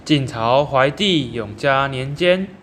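A man's voice: mid-range voice, lively intonation, slow pace, fairly bright tone, slight background noise. A teenage male student announcing and narrating a scripted amateur radio drama. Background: music.

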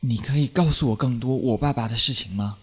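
A man's voice: medium pitch, fairly quick, breathy edge, natural voice. An actor playing a guarded, bewildered young half-blood man in a Chinese audio drama, with terse, wary delivery. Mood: searching.

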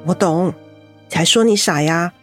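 A woman's voice: fairly low pitch, a little slow, faint music behind, lightly acted. An actress playing a sensible, motherly senior colleague in a Mandarin radio drama, scolding yet caring, with a Taiwanese accent. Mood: tender.

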